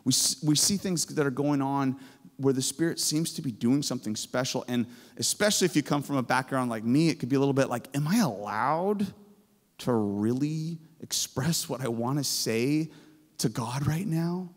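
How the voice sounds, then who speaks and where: Man, 40s, chapel sermon, excitable, booming, storytelling, handheld mic, long hall echo. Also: normal speed. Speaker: chapel speaker, professor. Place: large chapel stage. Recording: hall reverb.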